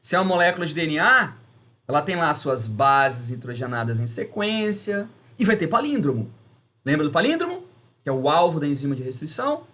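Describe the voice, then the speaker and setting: A male teacher with a Brazilian Portuguese accent and a high-set voice. His intonation swings widely as he explains things step by step, stressing key terms and checking in with rhetorical questions. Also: sing-song, brisk, sharp tone. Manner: didactic, emphatic, with rhetorical questions and check-ins. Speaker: biology teacher. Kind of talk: video lesson, explaining step by step.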